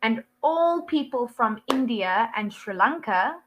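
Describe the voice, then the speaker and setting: A woman's voice: fairly high pitch, lively intonation, slow, noisy background. A young South African woman with a South African accent, an online English tutor chatting casually over a video call, laughing often and full of ums and likes. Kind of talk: casual one-to-one lesson chat.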